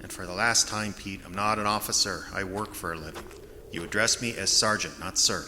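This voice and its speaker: An actor with an American accent playing a bitter, defensive middle-aged army veteran in an audio drama, gruff, sardonic and curt. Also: male voice, low voice, fairly even intonation, fairly quick, noisy background, close to an everyday voice. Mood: gruff, commanding.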